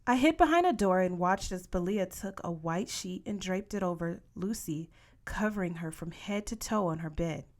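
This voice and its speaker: A woman reading a children's novel aloud as an expressive audiobook narrator. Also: mid-range voice. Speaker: audiobook reader.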